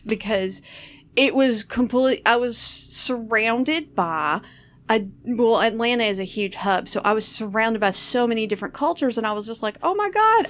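A woman's voice: a little high, slight background noise. A woman co-hosting a podcast, telling candid stories in a casual chat, swearing freely. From Southern US. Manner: candid, swearing, animated storytelling. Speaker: podcast co-host.

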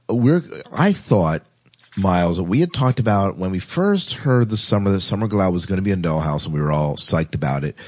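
Deep-voiced enthusiastic man, podcast co-host. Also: a little mellow, husky, dry recording. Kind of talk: casual chat about sci-fi TV.

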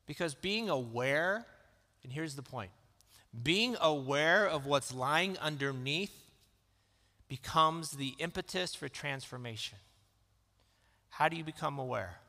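A man preaching a sermon in a calm, conversational way that rises into emphatic questions. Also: a little high, slow, long pauses. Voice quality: calm, soothing voice. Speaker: pastor. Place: church sanctuary. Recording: long reverb of a church hall.

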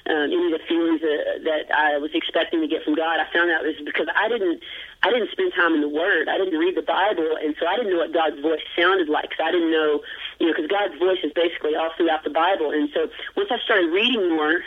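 High-voiced man on a tinny phone line. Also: fairly even intonation, a little fast. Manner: rambling and earnest, with many fillers and restarts; self-deprecating humor. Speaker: guest. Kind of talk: casual interview chat.

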